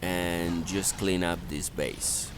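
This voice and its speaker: A man's voice: low voice, fairly even intonation, slow pace, noisy background. A man giving an unscripted strategy game walkthrough in a hesitant, step-by-step instructional manner. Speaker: gameplay guide narrator.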